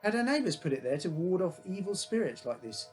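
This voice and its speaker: A man's voice: high voice, very animated, brisk, faint music behind. A man reading his own short story aloud as a first-person narrator, in simple childlike phrasing.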